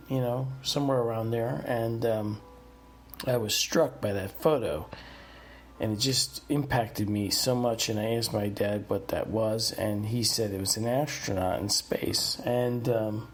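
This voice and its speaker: A man's voice: mid-range voice, a little slow. A man in his fifties from the northeastern United States, whose first language was Italian, hosting a podcast and telling his life story in a rambling way with many fillers. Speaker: podcast host. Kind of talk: personal storytelling monologue.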